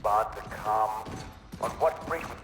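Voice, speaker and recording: A man's voice: on the low side, normal speed, lightly acted. An actor playing an impatient male starship captain who barks curt orders. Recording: loud ambient noise behind.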